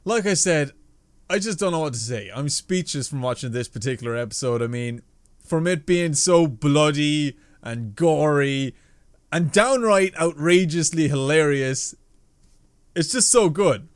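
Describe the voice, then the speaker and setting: A man's voice: fairly high pitch, expressive, a little slow, clear, resonant tone, no echo, studio quality. A man in his twenties giving casual, slangy, enthusiastic reaction commentary as a video host. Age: 20s. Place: small bedroom indoors.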